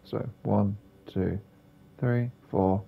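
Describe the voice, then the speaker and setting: A man with a gravelly, husky voice giving casual, rambling commentary as he plays a video game. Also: lowish voice, fairly even intonation, extremely slow, narrow, tinny audio. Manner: rambling, offhand. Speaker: gaming video creator.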